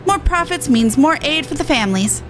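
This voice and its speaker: Brisk, earnest charity merchant for a game, high female voice, colloquial and plain-spoken, played by a woman. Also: animated, fairly bright tone, soft background music. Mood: determined. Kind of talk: reading video game dialogue in character.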